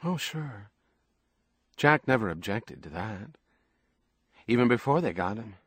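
A man's voice: lowish voice, big pitch swings, unhurried, a bit bright, breathy edge, dry recording, studio quality, heavily acted. A man voicing a troubled, needy young woman in audiobook dialogue, with an earnest, pleading manner. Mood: matter-of-fact. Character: troubled young woman, fragile, defiant, trusting, emotionally needy.

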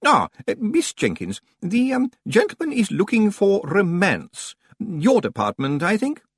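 Man playing a high-pitched, stammering timid librarian. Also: huge pitch swings, measured pace, husky, no room sound, clean studio sound, exaggerated voice. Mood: flustered, polite. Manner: stammering, hesitant, politely formal. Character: fussy, timid male senior librarian, hesitant and polite.

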